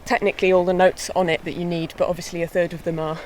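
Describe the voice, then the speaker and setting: A woman's voice: lowish voice, quick pace. Young woman, easygoing chatty guest, outdoor hill walk, faint wind noise. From born in England, raised in mid Wales. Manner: relaxed, chatty, laughs lightly. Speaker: harpist and guest. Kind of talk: casual walking interview.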